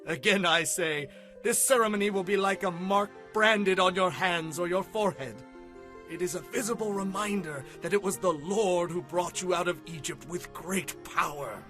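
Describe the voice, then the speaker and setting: Guy playing bible prophet preaching to his people, solemn and emphatic, highish voice, faint music. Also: normal speed, bright, forceful tone. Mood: solemn, instructing. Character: Moses, fervent male prophet solemnly addressing his people. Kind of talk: dramatized Bible reading.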